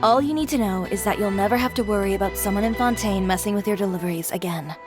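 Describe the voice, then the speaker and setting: A woman's voice: medium pitch, fast, music in the background, natural voice. A woman voicing a composed, self-assured female fashion designer who talks in a cool, dryly teasing way.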